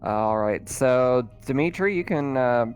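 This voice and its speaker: A man running a tabletop roleplaying game as game master, narrating in a conversational, halting way. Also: medium pitch, lively intonation. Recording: music playing behind the voice. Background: background music.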